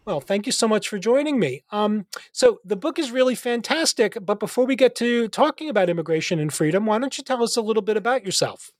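A man hosting a philosophy podcast interview, reading a measured introduction and then questioning his guest. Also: sits high, expressive, no echo, clean studio sound. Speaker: podcast host, philosophy professor.

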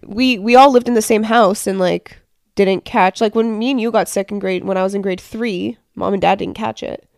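A woman's voice: medium pitch, fairly even intonation, moderate pace, creaky, a little mellow, dry recording. A young woman with a stuffy, congested voice chats loosely on a podcast, stringing thoughts together with lots of fillers. The sound is close and dry, with no room around it. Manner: chatty, rambling with many "like" fillers. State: congested from a cold. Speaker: podcast co-host. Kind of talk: casual chat.

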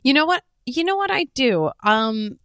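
A woman's voice: high-pitched, sing-song, moderate pace, fairly bright tone, dry recording, clean studio sound. Chatty woman co-host in her twenties. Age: 20s. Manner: chatty, self-mocking, swears casually. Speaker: podcast co-host. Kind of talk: casual chat.

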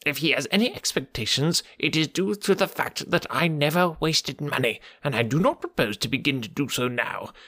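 A man voicing a querulous, twitchy, stingy little old man with a sharp crackling voice in an audiobook. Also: animated, normal speed, few pauses, no echo, studio quality, heavily acted. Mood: shrill scolding. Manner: shrill, scolding, demanding. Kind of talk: audiobook character voice.

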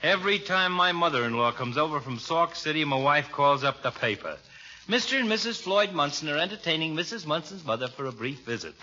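Raspy wisecracking barber, old radio comedy. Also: male voice, a little high, lively intonation, brisk, pushed and bright, exaggerated voice. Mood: gossipy. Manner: folksy, joshing. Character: wisecracking, folksy small-town barber, married man. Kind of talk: 1940s radio comedy acting. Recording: dull vintage radio recording.

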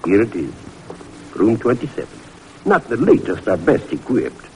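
Casting: skeptical senior professor, male, musing and drily humorous, lively pitch swings, vintage radio hiss. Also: moderate pace, low-quality recording. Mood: dry, teasing. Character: wry, skeptical senior professor, gently teasing younger men. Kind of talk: old-time radio drama acting.

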